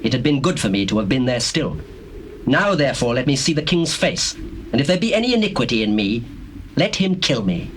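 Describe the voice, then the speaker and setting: Scheming young prince, British male actor, declamatory and animated, biblical audio drama, noisy old cassette. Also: medium pitch, fairly quick, natural voice. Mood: imperious, aggrieved. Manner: declamatory, archaic formal phrasing. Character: proud, scheming young prince plotting against his father. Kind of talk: dramatized scripture, theatrical British stage delivery. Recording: noisy old cassette tape, birdsong behind.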